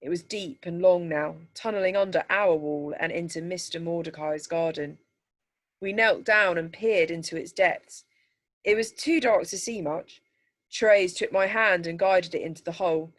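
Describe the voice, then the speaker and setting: Lower-pitched woman reading a story aloud. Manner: measured, deliberate, descriptive reading. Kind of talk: scripted story narration.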